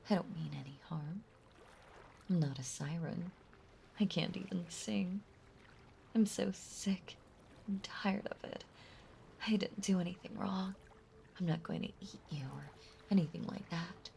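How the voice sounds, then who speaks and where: A woman playing a possessive, playful yet menacing mermaid in an intimate roleplay audio drama, speaking in a soft, breathy, halting and teasing way. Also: on the low side, slight background noise. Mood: hurt, frustrated. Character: possessive yandere mermaid, playful, sweetly menacing young female. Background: ocean ambience sound effects.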